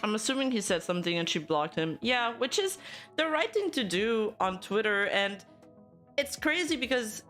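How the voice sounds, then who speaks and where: A woman's voice: fairly high pitch, big pitch swings, moderate pace, fairly bright tone, soft background music. Chatty young Canadian woman streamer. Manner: chatty, laughs often, answers chat with playful denial. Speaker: VTuber commentary streamer. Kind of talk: casual live-stream chat reacting to news.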